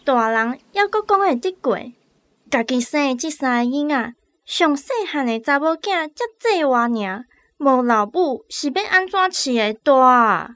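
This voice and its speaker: An outspoken Taiwanese village woman in a radio drama, gossipy and sympathetic. The voice is high and forceful, with very lively intonation. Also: unhurried, dry recording.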